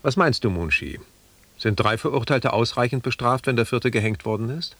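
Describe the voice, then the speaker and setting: An actor playing a defiant, sarcastic expedition doctor in a German radio drama, pointed and challenging. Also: male voice, mid-range voice, lively intonation, moderate pace, fairly bright tone, no echo.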